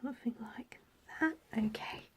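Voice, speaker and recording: A woman with a cold and a raspy, blocked-up voice, demonstrating a card-making project softly, sometimes near a whisper. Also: moderate pace. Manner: soft, partly whispered while working. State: congested from a cold. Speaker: stamping craft demonstrator. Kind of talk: craft tutorial.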